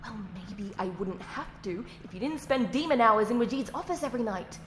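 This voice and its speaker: A woman's voice: on the high side, animated, brisk, fairly bright tone, roomy sound, slight background noise. A woman playing a sly, gossipy office colleague in an audio roleplay, blunt and conspiratorial.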